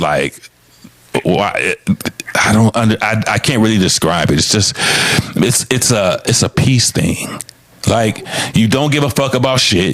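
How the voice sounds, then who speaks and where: A man's voice: on the low side, dry recording. Blunt, profane man in his fifties. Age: 50s. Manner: blunt and profane, speaks frankly. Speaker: podcast co-host. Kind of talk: casual podcast chat.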